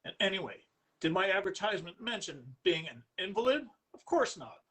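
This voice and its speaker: A man's voice: sits high, very animated, normal speed, sharp tone, slightly rough, voice pushed up, heavily acted, low-quality recording. A man voicing an ill-mannered, self-pitying war veteran in a Victorian story reading, curt, grumbling and sneering. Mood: indignant. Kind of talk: live dramatic story reading.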